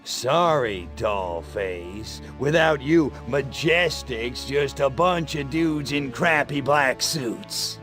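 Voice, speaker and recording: A man's voice: mid-range voice, expressive, takes it slowly, few pauses, fairly bright tone. A man voicing an arrogant, mocking alien invader in a video game. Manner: menacing, mocking, imperious. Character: arrogant, sardonic little alien invader from planet Furon. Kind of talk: video game cutscene acting. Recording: music behind the voice.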